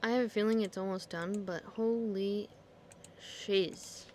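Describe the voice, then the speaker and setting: A woman's voice: medium pitch, fairly even intonation, measured pace, a little mellow, slight background noise. A woman streaming a game, giving casual, exclamatory live reactions in an informal way.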